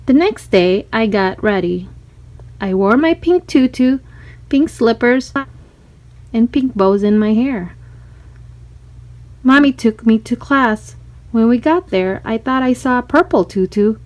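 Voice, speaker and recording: A woman narrating a children's storybook in an expressive read-aloud style. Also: fairly high pitch, measured pace, pauses often.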